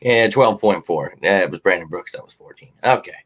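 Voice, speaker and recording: Slightly hoarse, rambling male fan host. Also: low-pitched, fairly quick, a bit bright. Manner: rambling, lots of ums and uhs; opinionated, fan-like. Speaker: host of a sports fan show. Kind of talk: casual sports talk with viewer comments.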